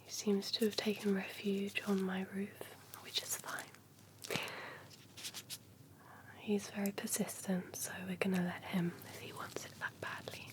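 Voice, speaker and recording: A woman whispering breathily in an ASMR doctor roleplay, speaking gently and hesitantly like an attentive clinician. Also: medium pitch, little pitch movement, a little slow. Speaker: ASMR performer playing an eye doctor. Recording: clear background noise and ambience.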